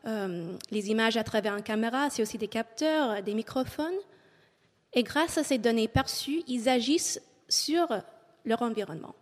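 A woman's voice: fairly high pitch, darkish tone. A woman from English-speaking Canada speaking French with an English Canadian accent, giving a public talk and hesitating often with filler sounds. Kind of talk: public talk reading from notes. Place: auditorium before an audience. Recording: long hall reverb.

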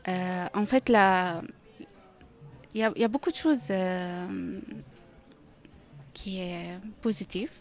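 Woman with a Syrian Arabic accent, halting. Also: fairly low pitch, soft background music. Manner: halting, searching for words in French. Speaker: interviewee, refugee who cooks. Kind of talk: personal account in an interview.

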